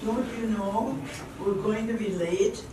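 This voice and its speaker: Woman acting slow-spoken radio-drama sweetheart. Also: medium pitch, close to an everyday voice. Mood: playfully bossy. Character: Hannah, lovelorn young woman turned playfully bossy sweetheart. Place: live amateur performance in a hall. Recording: roomy, distant stage mic with faint noise.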